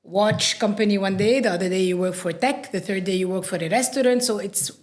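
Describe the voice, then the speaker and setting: A woman from Lebanon, a podcast guest telling animated stories and laughing easily. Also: medium pitch, a little fast. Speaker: guest, radio sales professional. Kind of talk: podcast conversation.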